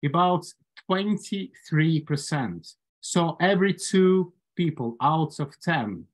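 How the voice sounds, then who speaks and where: A man in his forties with a Ukrainian accent, giving a prepared humorous speech at an online club meeting with dry humor and measured phrasing. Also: a little high. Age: 40s. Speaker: club speaker. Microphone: video call.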